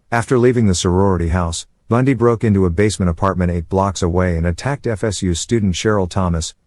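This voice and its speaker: A man reading scripted true-crime documentary narration in a measured, formal manner. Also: low voice, darkish tone, dry recording.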